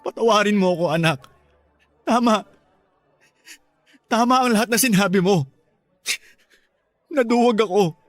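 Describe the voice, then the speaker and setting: A man's voice: sits high, unhurried, pauses often, a bit bright, dry recording, soft background music. An actor with a Filipino accent playing a remorseful middle-aged father, imploring and earnest in a radio drama. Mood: anguished, begging.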